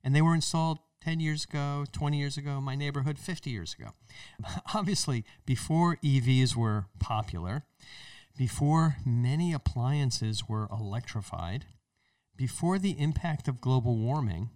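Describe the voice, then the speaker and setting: A man's voice: mid-range voice, moderate pace, darkish tone, no room sound, distant mic. An American man hosting an explanatory talk show in an emphatic, conversational way. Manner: emphatic, conversational, acts out imagined remarks. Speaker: podcast host. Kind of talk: explanatory radio-style talk.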